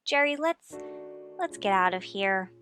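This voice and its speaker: A woman's voice: mid-range voice, animated, a little slow, fairly bright tone, soft background music. An American actress playing an impulsive, stubborn married woman sleuth in an old radio drama, exclaiming and stressing her words. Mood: flustered, stubborn. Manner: exclamatory, emphatic. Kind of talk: mid-century radio drama acting.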